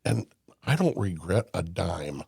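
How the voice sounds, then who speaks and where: Low, gravelly older man from Indiana. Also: very animated, a little slow, a little mellow, no echo, studio quality. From Indiana, USA. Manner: folksy storyteller, emphatic, with restarts. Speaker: podcast guest. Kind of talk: casual conversation.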